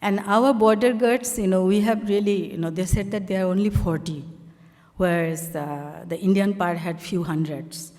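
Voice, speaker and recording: A woman from Bangladesh with a Bangladeshi accent, an artist telling the story behind her slides in a halting, conversational way full of fillers. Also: mid-range voice, a little mellow, big-room sound. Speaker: artist presenting her work on a panel. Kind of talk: conversational talk walking through slides.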